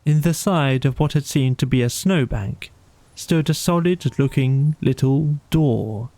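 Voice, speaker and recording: Creaky-voiced male storybook narrator. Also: medium pitch, unhurried, warm tone, no echo. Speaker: audiobook narrator. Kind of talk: scripted storybook narration for bedtime.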